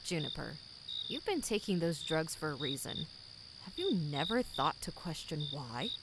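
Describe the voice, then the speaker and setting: A woman's voice: low voice, sing-song, moderate pace, background noise. A woman playing a cold, condescending company boss in an audio drama, formal, smug and menacing. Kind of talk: scripted audio drama acting.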